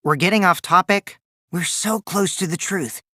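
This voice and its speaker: A man's voice: high-pitched, moderate pace, bright, forceful tone, dry recording, studio quality. A man voicing a mischievous, taunting teenage boy in an English video game, with childish, singsong mockery and theatrical delivery. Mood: explaining. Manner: childish, singsong mockery, theatrical swings from gleeful to coolly sly.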